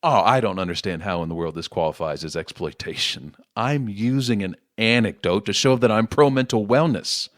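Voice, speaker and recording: A man's voice: lowish voice, moderate pace, hardly any pauses, dry recording, clean studio sound, lightly acted. An actor playing a middle-aged politician father in an audio play, persuasive and defensive, talking down to his grown daughter. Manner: condescending, self-justifying.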